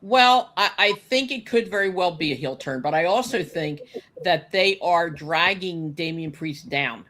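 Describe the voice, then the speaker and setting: Bright-voiced woman in her sixties. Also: lowish voice, lively intonation, a little slow, a little hiss or hum. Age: 60s. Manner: chatty, laughs easily, teasing. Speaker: podcast host. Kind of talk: casual chat. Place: home kitchen.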